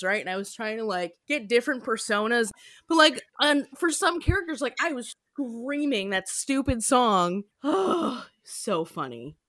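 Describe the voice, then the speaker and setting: A woman's voice: fairly high pitch, bright, forceful tone, no echo, studio quality. A woman co-hosting a podcast in casual, playful chat, often laughing. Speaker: podcast co-host.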